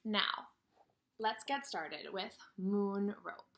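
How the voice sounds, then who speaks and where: A woman teaching kindergarten through a read-aloud lesson, speaking directly to young children and asking them questions in an animated way. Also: mid-range voice, drawn-out words.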